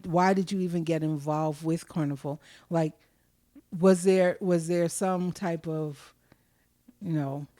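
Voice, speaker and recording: A woman's voice: on the low side, a little mellow, dry recording, clean studio sound. A woman hosting a podcast in casual, frank conversation, asking probing questions. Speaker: podcast host.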